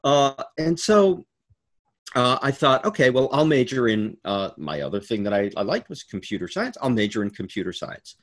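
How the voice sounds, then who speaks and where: A man in his sixties giving a halting, storytelling answer in an informal virtual interview, with many fillers and the odd laugh. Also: medium pitch, sing-song. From United States. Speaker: keynote speaker. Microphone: video call.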